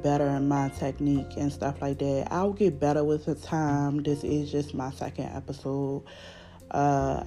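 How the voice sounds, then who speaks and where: A woman with a Baltimore accent hosting her podcast, talking freely with a raspy voice from a recent illness, rambling with fillers and restarts. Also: low-pitched, dark tone. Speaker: podcast host. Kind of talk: unscripted casual monologue.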